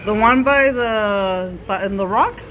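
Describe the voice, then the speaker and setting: A woman's voice: mid-range voice, takes it slowly, noisy background. A woman chatting casually on a handheld phone, moving between English and Spanish with short questions and exclamations. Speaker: vlogger, mother touring an aquarium with her children.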